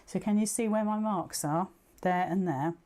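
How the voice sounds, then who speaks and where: A woman's voice: fairly low pitch, normal speed, mellow. A woman giving a chatty live craft demonstration as a sewing tutor, bantering self-deprecatingly with viewers and talking through each step. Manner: talks aloud through each step, checking with okay. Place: indoors at a sewing machine.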